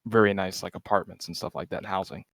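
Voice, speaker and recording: A young man from the US chatting casually over voice chat, soft-spoken and hesitant, with lots of fillers. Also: sits low, fairly even intonation, fast. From United States. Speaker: group chat member.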